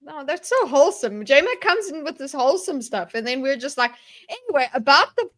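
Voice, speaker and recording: A woman with a high-pitched voice talks on a livestream panel. Her style is casual and conversational, like off-the-cuff chat among co-hosts. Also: sing-song, fairly quick, a bit bright.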